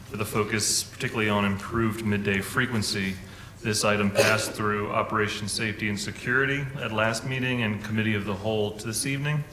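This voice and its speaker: A man on a board presenting a committee item and moving its approval in a measured, businesslike way. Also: fairly low pitch, bright, forceful tone, slight background noise. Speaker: board member and committee chair. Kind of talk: formal motion presentation. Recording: echoey meeting room.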